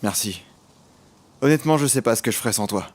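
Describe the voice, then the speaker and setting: An actor playing an eager, devoted young criminal apprentice in an audio drama. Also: male voice, mid-range voice, lively intonation, fast, natural voice. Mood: grateful, teasing. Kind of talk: audio drama acting and first-person narration. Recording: music under the voice.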